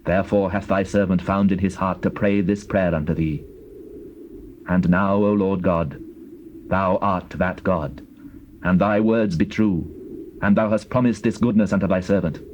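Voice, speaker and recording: An actor playing King David as a humble king in prayer, reverent, measured and formal, in dramatized scripture. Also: low-pitched, fairly even intonation, a little mellow, a little hiss or hum, rough recording.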